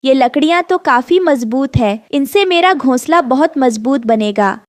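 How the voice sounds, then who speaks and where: A woman's voice: sits high, expressive, a little slow, no echo, studio quality. A woman voicing a kind, hardworking mother bird in a cartoon fable, earnest and motherly. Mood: pleased. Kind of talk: animated fable dialogue.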